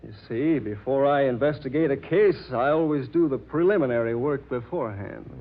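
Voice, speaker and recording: An actor playing a wry, persistent police detective in an old radio drama, questioning in a measured, insinuating way. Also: male voice, mid-range voice, lo-fi sound, close to an everyday voice. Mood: probing, wry. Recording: thin, band-limited old radio recording.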